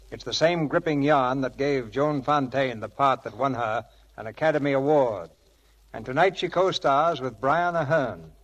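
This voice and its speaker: A man presenting scripted 1940s radio theatre as host and narrator, with grand, measured showmanship. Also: mid-range voice, muffled recording. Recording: vintage radio broadcast with music.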